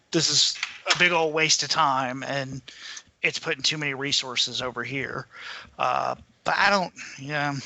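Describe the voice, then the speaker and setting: Very bright, higher-pitched male podcaster. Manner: informal, thinking aloud in fragments. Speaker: podcast co-host. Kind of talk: casual panel discussion.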